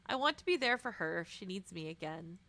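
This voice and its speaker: A young woman streamer reading a visual novel aloud expressively, with dramatic, casual, animated asides. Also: fairly low pitch, fairly quick.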